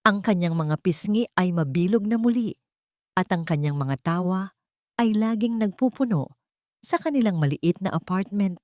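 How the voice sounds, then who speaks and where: A woman's voice: on the low side, warm tone, dry recording, clean studio sound. Woman narrating a dramatic story.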